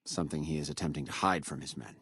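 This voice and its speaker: Casting: proud captured legion officer for a game, very low voice, contemptuous and threatening, dry close sound. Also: male voice, fairly bright tone, breathy edge, no echo, heavily acted. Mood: guarded, grave. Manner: contemptuous, measured, menacing delivery. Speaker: video game voice actor. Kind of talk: video game character dialogue.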